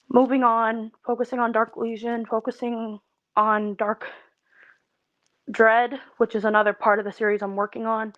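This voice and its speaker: Tired young woman venting, kinda high voice, slow halting talk, dull dry sound. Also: fairly bright tone, no room sound. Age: 20s. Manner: halting, weary, with many pauses and restarts. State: emotionally tired. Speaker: content creator. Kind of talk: personal vent video.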